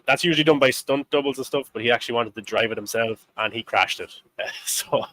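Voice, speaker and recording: A man's voice: mid-range voice, a little fast, bright, forceful tone. A young man in his twenties hosting a casual film discussion, rambling through facts with lots of fillers and little laughs. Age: 20s. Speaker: host.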